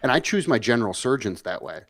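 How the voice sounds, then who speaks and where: A man co-hosting a casual discussion podcast, chiming in with quick agreement and wry jokes. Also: mid-range voice, big pitch swings, fairly bright tone, no echo, studio quality. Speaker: podcast co-host.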